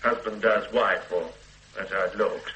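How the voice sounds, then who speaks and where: An actor playing a brisk, offhand senior police officer in a radio drama. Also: male voice, lowish voice, fairly even intonation, sharp tone, a little hiss or hum. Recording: roomy studio echo.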